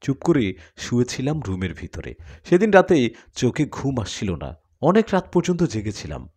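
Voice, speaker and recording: A man tells a horror tale in the first person. His medium-pitched voice rises and falls widely, with dramatic storytelling delivery. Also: huge pitch swings, normal speed, darkish tone, slightly rough.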